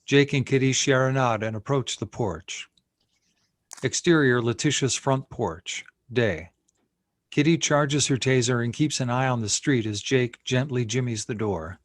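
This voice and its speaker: A man's voice: medium pitch, unhurried, no echo. A man reading screenplay action and scene headings as the narrator of a table read. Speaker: narrator reading stage directions.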